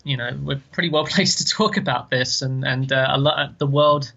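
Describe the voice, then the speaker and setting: Flat, bright-toned man from London. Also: mid-range voice, moderate pace, creaky. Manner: chatty, repeats words. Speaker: podcast co-host. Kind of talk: casual chat. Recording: dull lo-fi sound.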